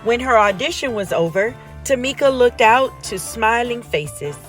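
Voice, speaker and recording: A woman reading a children's picture book aloud in an expressive storytime style. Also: a little high, moderate pace, soft background music. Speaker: storytime reader.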